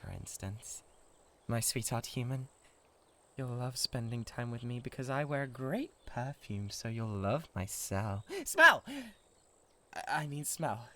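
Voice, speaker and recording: A man's voice: mid-range voice, animated, takes it slowly, sharp tone, full character voice. A man with a British accent playing a lovesick, possessive giant who stammers and gets defensive in a comic audio roleplay. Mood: flustered wooing. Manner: stammering, pleading, easily riled defensiveness.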